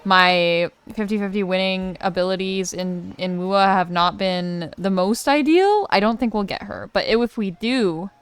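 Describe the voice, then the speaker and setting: A woman's voice: mid-range voice, unhurried, few pauses, clear, resonant tone. A young woman doing casual, chatty and slangy let's play commentary as a gaming streamer. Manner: chatty, slangy, reacting aloud. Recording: game music behind voice. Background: video game music.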